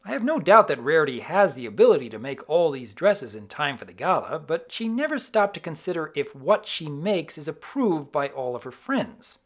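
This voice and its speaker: A co-reviewer giving scripted commentary in a measured, explanatory manner. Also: medium pitch, big pitch swings. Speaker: guest co-reviewer.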